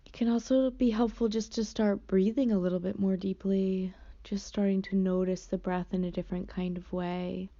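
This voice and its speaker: Woman, guided meditation, gentle slow delivery, mellow tone, dull lo-fi sound. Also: medium pitch, steady tone. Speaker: meditation teacher.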